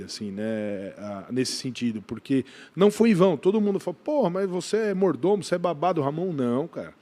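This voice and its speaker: A man's voice: fairly high pitch, a little mellow. A man with a Brazilian accent answering as a podcast guest in casual conversation, often restarting phrases, repeating words and adding short tag questions. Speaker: podcast guest, gym brand owner.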